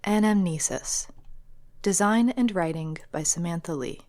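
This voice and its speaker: A woman's voice: lowish voice, a little slow, a little mellow. A woman narrator reading scripted rulebook text for a game.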